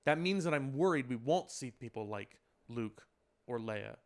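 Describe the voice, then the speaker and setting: A man's voice: mid-range voice, animated, normal speed. A man in a casual podcast conversation, explaining his points in an emphatic way. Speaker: podcast host. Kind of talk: casual chat about pop culture.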